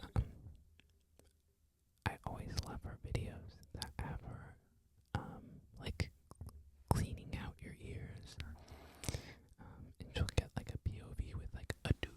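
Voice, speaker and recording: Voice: a little slow, pauses often. An ASMR creator whispering a loose, rambling talk, full of "like" and "um" fillers. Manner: soft whisper throughout, frequent "like" and "um" fillers.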